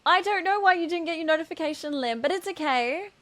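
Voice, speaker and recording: A woman's voice: very high voice, lively intonation, fast talker, fairly bright tone, no echo. A woman chatting casually as she hosts a game stream, greeting viewers affectionately.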